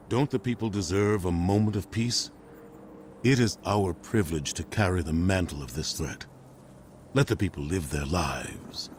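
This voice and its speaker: An actor playing a grave, dutiful older commander in a video game, speaking with solemn authority. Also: male voice, sits low, a little hiss or hum. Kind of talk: dramatic video game voice acting.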